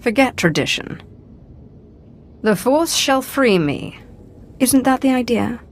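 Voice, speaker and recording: An actress playing a cool, determined young Sith apprentice in a video game, controlled, curt and self-assured. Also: female voice, a little high, animated, slow pace, a little hiss or hum. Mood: defiant. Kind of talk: dramatic video game acting.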